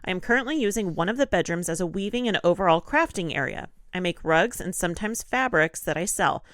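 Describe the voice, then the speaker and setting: A woman co-hosting a podcast, reading a post aloud in a relaxed, chatty way, a little nasal and congested. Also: fairly low pitch, fast talker, no room sound, clean studio sound. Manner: relaxed, laughs often. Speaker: podcast co-host.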